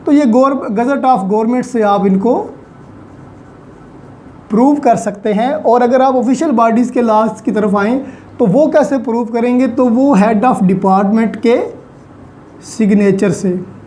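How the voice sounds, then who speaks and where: Mellow-voiced Pakistani woman lecturing. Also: a little high, slow, slight background noise. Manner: didactic, poses questions and answers them; mixes in many English terms. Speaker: law lecturer. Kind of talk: online lecture, explaining step by step.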